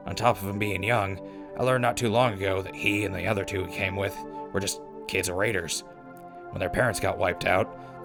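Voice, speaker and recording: A man plays a laid-back wasteland DJ with a low voice in an audio drama. He reads news and ads in a wry, grumbling, informal way over clearly audible music. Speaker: radio host and news reader. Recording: music behind voice.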